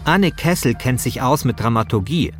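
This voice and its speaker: Man narrating a podcast with lively intonation. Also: medium pitch, normal speed, soft background music. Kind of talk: scripted first-person podcast narration.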